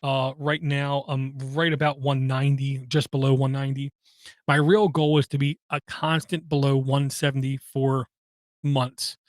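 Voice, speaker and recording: A man hosting a podcast, talking through personal matters in a halting way, with many pauses and fillers and an occasional stutter. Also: medium pitch, little pitch movement, dry recording, studio quality. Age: adult, has kids. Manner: halting, frequent ums and uhs, slight stutter. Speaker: podcast host. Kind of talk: personal monologue.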